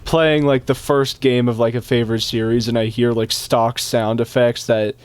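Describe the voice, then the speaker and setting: A man's voice: mid-range voice, little pitch movement, normal speed, a little mellow, clean tone, no room sound. A young man hosting a casual gaming chat, joking and swearing, with a speech impediment that mixes up his R and W sounds. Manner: joking, swears a lot, laughs often. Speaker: host playing a game.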